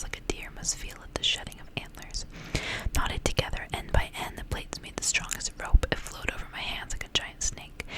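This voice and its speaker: A woman whispering softly and breathily in an ASMR style, hushed, as she reads and chats close to the mic. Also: rough recording. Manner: hushed, repeats words softly. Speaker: ASMR host reading stories aloud. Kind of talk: whispered ASMR, story reading and casual rambling.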